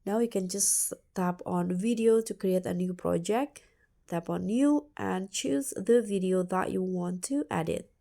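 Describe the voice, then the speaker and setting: A woman's voice: mid-range voice, a little slow, gravelly, mellow. A woman hosting a tutorial, narrating an app walkthrough step by step. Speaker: tutorial host.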